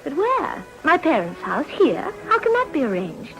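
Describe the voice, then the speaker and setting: An actress playing a poised, guarded young upper-class wife with a formal English accent in a period drama. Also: female voice, high voice, wildly swooping pitch, moderate pace, music in the background, full character voice, low-quality recording. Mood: wary, probing. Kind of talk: British period drama acting.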